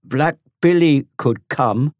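A man's voice: mid-range voice, takes it slowly, no room sound, clean studio sound, pitched above the performer's own voice, natural voice. A man voicing a lordly, commanding teenage boy who bosses his younger brothers. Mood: dismissive.

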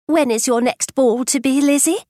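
A woman's voice: high-pitched, normal speed, warm tone, no echo, clean studio sound, voice pushed up, close to an everyday voice. A woman voicing a fretful young daughter in an audiobook.

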